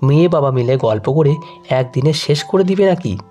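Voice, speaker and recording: A man voicing a brisk, bossy but caring middle-aged mother in an emotional audio story. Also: a little high, expressive, mellow, highs cut off, soft background music, close to an everyday voice. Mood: chiding, hurrying.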